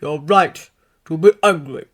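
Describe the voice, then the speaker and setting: A woman voicing a big, gentle grown male hero who comforts his young student in a fatherly way. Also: low voice, a little slow.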